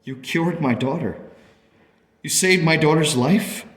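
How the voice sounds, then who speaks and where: Guy acting a low voiced earnest dad in a radio drama, emotional, big echoey hall sound. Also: lively intonation, a little slow. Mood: urgent, amazed. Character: earnest, grateful father of a young daughter, searching for answers. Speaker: actor narrating a radio play. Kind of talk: dramatic first-person storytelling. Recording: long reverberant hall echo.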